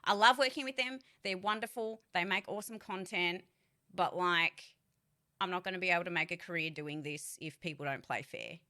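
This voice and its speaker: Woman vlogger, low-pitched and forceful; candid, swears, rambly with restarts. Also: moderate pace. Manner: candid and blunt, swears freely; rambling with many fillers and restarts. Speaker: voice actor giving career advice. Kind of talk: casual vlog monologue.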